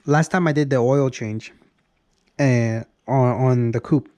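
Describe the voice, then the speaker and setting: A man's voice: mid-range voice, slow pace, darkish tone, no echo. A man in his early thirties talking in a casual, rambling vlog style, often repeating and restarting his phrases. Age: early 30s. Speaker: car vlogger.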